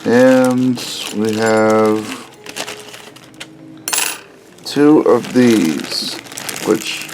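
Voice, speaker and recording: A man with a scratchy voice giving a relaxed, casual hands-on review of a cheap car stereo, explaining each step with plenty of pauses and "uh"s. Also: fairly low pitch. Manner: relaxed, unhurried explaining with frequent "uh"s. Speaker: car audio hobbyist reviewer.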